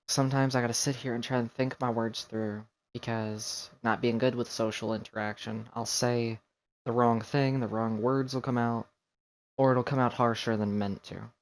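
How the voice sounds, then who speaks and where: A man livestreaming a game, chatting casually in a rambling, self-deprecating way. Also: medium pitch. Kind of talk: casual chat while gaming on a livestream. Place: voice over game music on a livestream.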